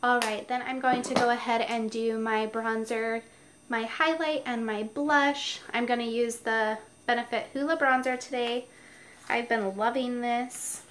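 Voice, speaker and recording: A woman with a somewhat high voice and a stuffy nose explains her makeup routine in a chatty, step-by-step way. She talks casually from home. A faint hiss from the mic stays under her voice. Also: steady tone, a little slow, fairly bright tone. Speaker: beauty vlogger. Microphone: noisy mic.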